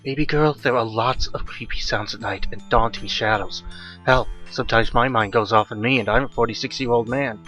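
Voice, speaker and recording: A man's voice: mid-range voice, a little fast, bright, forceful tone, lo-fi sound, faint music behind, natural voice. Man acting a grumpy middle-aged dad. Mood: reassuring. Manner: exasperated, complaining. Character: grumpy forty-six-year-old father, irritable and dismissive.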